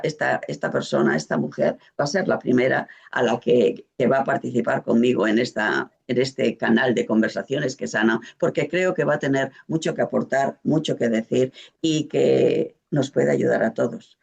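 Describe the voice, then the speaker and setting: A man hosting a conversational interview, speaking haltingly as he searches for words. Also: mid-range voice, creaky, slightly rough. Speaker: host.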